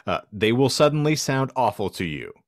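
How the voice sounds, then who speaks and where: A man's voice: medium pitch, sing-song, normal speed, dry recording. A man hosting a podcast with wry, sarcastic asides, bantering casually and reading bits aloud. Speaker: podcast host.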